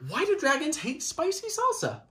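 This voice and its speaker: A man in his thirties reads a picture book to children. His pitch swoops dramatically across a very wide range. The delivery is playful, exaggerated and theatrical. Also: huge pitch swings, normal speed, bright, forceful tone. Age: 30s. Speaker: storybook reader. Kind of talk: animated children's picture book read-aloud. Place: small child's room indoors.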